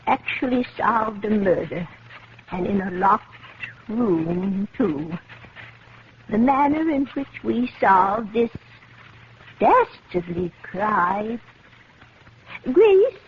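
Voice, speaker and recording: An actress playing a flustered, dithering elderly amateur sleuth, fluttery and dramatic, in an old radio mystery. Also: female voice, medium pitch, big pitch swings, drawn-out words, pauses often, full character voice. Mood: pleased, puzzled. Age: older. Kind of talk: 1950s radio mystery acting. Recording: muffled, noisy vintage radio recording.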